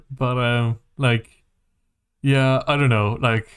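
A male podcast co-host with a medium-pitched voice. He talks in a loose, conversational style, rambling through his points and leaning hard on them, with plenty of casual swearing. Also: steady tone, extremely slow, clear, resonant tone. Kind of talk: casual movie and game chat.

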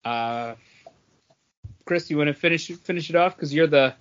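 A man co-hosting a podcast, chatting casually about entertainment news in a halting, hesitant way. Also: fairly high pitch, clean tone, lo-fi sound. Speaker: podcast co-host. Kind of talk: casual chat about movie and comics news.

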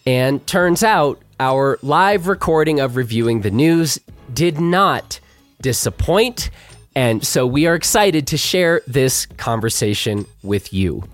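Male podcast host, middle-aged, voice going hoarse, casual and rambling, mid pitch, dry close sound. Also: animated, takes it slowly, no room sound, faint music behind. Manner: chatty, self-deprecating, many fillers. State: hoarse, losing his voice. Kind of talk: casual podcast intro and live chat.

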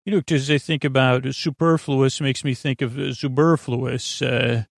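A man hosting a sleep podcast in a meandering, halting, absent-minded monologue with a gravelly, creaky voice. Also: medium pitch, steady tone, no echo, studio quality. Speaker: podcast host.

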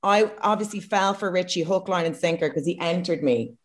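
A woman's voice: fairly low pitch, fairly quick. A woman with an Irish accent, co-hosting a casual comedic chat, frank and self-mocking. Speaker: podcast co-host.

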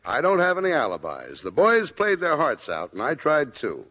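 A man's voice: on the high side, huge pitch swings, moderate pace, fairly bright tone, full character voice. An American man acting a harried middle-aged football coach in a 1950s radio comedy, emphatic and prone to stammering. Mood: earnest, sheepish. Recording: dull, band-limited old radio recording.

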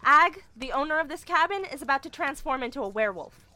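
Flustered young female witch, high-pitched, forceful bright tone, urgent, exasperated, audio drama. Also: expressive, quick pace. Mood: urgent warning. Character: flustered, earnest young woman witch warning trespassers.

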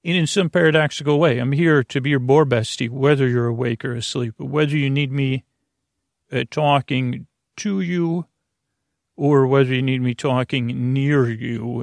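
A man hosting a sleep podcast in a rambling, whimsical bedtime monologue, halting and repeating words, reassuring and companionable, with a creaky voice. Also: medium pitch, dry recording, studio quality.

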